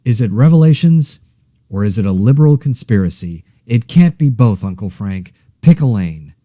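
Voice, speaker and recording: A man's voice: fairly low pitch, expressive, moderate pace, dark tone, dry recording, clean studio sound. A man playing an exasperated, anxious everyman podcaster in a conversational audio drama, rambling wryly and interrupting himself. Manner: wry, rambling, self-interrupting. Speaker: host of a fictional monologue podcast.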